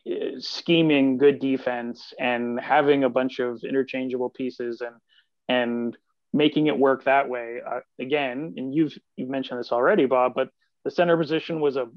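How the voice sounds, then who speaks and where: A man's voice: mid-range voice, flat delivery, normal speed, no echo. A man giving conversational sports analysis as a guest, on lo-fi video-call audio. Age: 40s. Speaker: sports columnist, podcast guest. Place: room at home on video call.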